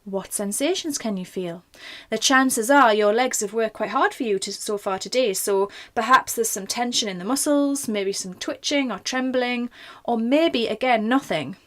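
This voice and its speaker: A woman leading a guided meditation in a gentle, informal, reassuring manner. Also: on the high side, moderate pace. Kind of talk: guided body scan meditation.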